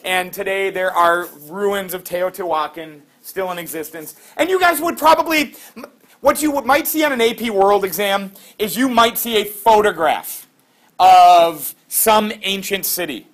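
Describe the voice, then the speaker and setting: High-voiced lively male teacher. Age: middle-aged. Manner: lively, quizzing students with quick questions. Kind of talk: classroom lecture with student questions. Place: classroom.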